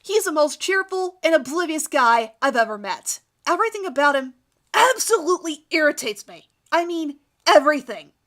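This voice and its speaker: A woman's voice: high-pitched, sharp tone, heavily acted. A woman voicing an arrogant, easily irritated teenage boy heartthrob in a dramatic fan fiction reading, disdainful and self-satisfied.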